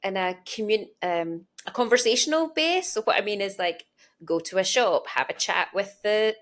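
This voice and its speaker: Female Glaswegian interviewer, medium pitch, talkative and explanatory in an informal interview. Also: expressive, normal speed, a bit bright. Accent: Glasgow Scottish. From Scotland. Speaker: podcast host and interviewer. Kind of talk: conversational interview.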